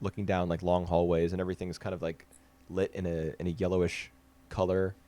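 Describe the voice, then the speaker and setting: A man's voice: low-pitched, little pitch movement, fairly quick, dark tone. A man co-hosting a podcast, chatting casually and analytically with fillers and restarts. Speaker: podcast co-host. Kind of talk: casual anime discussion.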